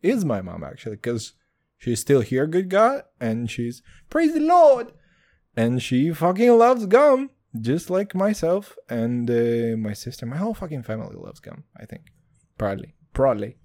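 Animated, swearing male podcast host. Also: medium pitch, normal speed. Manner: animated, profane, rambling, addresses listeners as dude. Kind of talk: casual solo podcast monologue.

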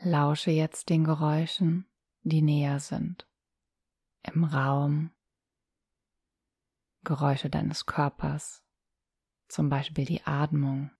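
A woman leads a guided relaxation in a low, even voice that barely moves in pitch. Her delivery is soft, breathy and soothing, with calm instructions and long pauses. The sound is very dry and close. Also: no room sound. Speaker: yoga nidra guide.

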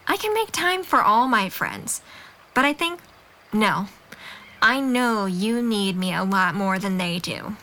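A woman's voice: mid-range voice, lively intonation, takes it slowly, bright, forceful tone. A woman acting a caring, frank young woman in an audio drama, speaking in an earnest, direct and reassuring way. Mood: earnest, supportive. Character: caring, frank, persistent young woman befriending a troubled man.

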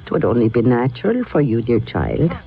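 A woman's voice: extremely low pitch, big pitch swings, brisk, creaky, breathy edge, heavily acted. A stern old sorceress from a 1970s radio drama. She is crafty and teasing, delivering each phrase with grand deliberation. The sound is dull, vintage radio. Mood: teasing, insistent. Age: older.

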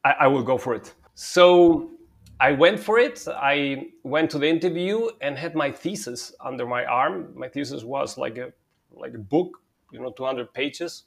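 A man's voice: mid-range voice, measured pace, vocal fry. A man from Spain, a telecom company head talking through his career in an interview with frequent fillers and restarts. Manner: hesitant, with filler words and repeated starts. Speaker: guest, telecom consulting CEO. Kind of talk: conversational interview.

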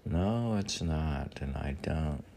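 Slow, gravelly deep-voiced man rambling. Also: expressive, warm tone, a little hiss or hum. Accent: New York. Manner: meandering, talks back to himself with many fillers. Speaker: poet reading his own poems. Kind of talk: rambling spoken commentary and poetry reading.

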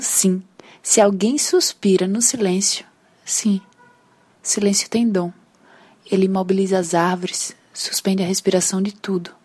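A woman reciting a poem slowly and softly, dropping into whispers on some phrases. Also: mid-range voice, slightly rough. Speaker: poetry reader. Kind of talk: recorded poetry recitation.

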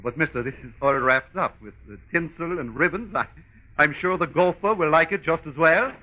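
A man's voice: a little high, moderate pace, sharp tone, poor audio. An actor playing an easily unhinged male psychiatrist behind a shop counter in an old-time radio comedy. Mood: polite, strained.

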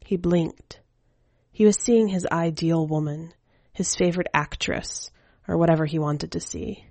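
A woman with a low voice reads fiction at a slow, measured pace, her delivery hushed. The recording is dry and close, like an audio story. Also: fairly even intonation, dry recording. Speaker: podcast editor and story reader. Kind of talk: scripted fiction narration.

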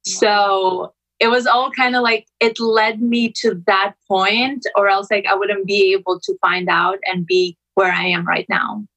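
A woman's voice: medium pitch, fairly even intonation, unhurried, hardly any pauses, a bit bright, poor audio. A young woman from Albania chatting casually as a podcast guest, telling her own story in a conversational way. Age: young adult.

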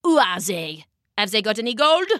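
A woman voicing a greedy old king who hoards gold, grumbling and drawling slowly. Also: mid-range voice, sing-song, a bit bright, no echo, clean studio sound.

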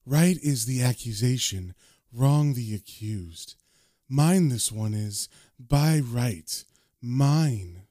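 Low, slow, cryptic demon king of darkness, played by a male storyteller, solemn, with riddling archaic phrasing. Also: expressive, dark tone, dry recording, studio quality. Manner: inverted, riddling archaic word order. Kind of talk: dramatic comic-book storytelling character voice.

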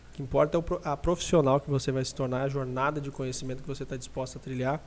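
A man's voice: mid-range voice, flat delivery, racing, a little hiss or hum. A man with a Brazilian accent hosting a casual live podcast, speaking informally and directly to listeners as he reads their questions. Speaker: podcast host reading audience questions.

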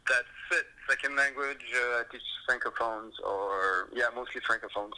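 A man calling in as a musician guest, soft-spoken and halting. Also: mid-range voice, low-quality recording.

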